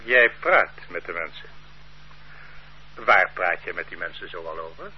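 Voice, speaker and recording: A cold, bullying interrogator. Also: male voice, low voice, expressive, measured pace, a little hiss or hum. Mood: menacing. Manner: curt, bullying, accusing. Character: stern male interrogator, cold and accusing. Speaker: interrogating official. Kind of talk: radio drama acting. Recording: dull lo-fi radio play recording.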